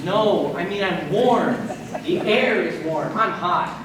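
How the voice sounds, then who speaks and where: A man's voice: sits high, slow. A man acting in a stage play as a cocky, dim young aspiring actor in his twenties, breezy and self-satisfied. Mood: carefree. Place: stage in a large hall, far from microphone. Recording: distant, echoey, noisy stage recording.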